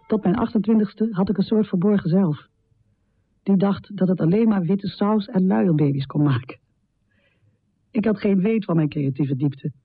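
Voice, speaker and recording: A woman's voice: medium pitch, moderate pace, slightly rough, dry recording, phone-quality sound. An actress playing a troubled housewife poet in her thirties, speaking an intimate, confessional radio drama monologue in dramatic phrases. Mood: haunted, reflective. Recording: thin, band-limited radio recording.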